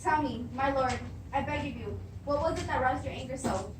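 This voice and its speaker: A woman's voice: sits high, normal speed, natural voice. A young woman acting an anxious, reassuring queen and wife in a readers theatre Greek tragedy. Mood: pleading. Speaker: student actor. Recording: distant echoey room with clear background noise.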